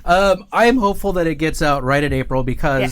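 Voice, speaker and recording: A man's voice: on the high side, fast talker. A middle-aged man hosting a casual studio livestream, joking and rambling with lots of fillers. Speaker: host. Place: studio set with couch and table.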